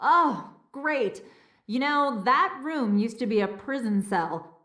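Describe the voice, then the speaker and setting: A high-pitched, fussy female castle historian. She is bookish and eager to explain, yet nervous, hesitating and doubling her words. Her voice rings in a roomy echo. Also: animated, slow, sharp tone, heavily acted. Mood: explanatory. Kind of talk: scripted adventure game dialogue. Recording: roomy, echoing voice.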